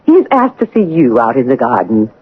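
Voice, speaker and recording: An actress playing a pleased, confiding older housekeeper with a husky voice in an old-time radio drama. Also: female voice, a bass voice, very animated, moderate pace, loud music behind the voice. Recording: tinny, band-limited old radio broadcast.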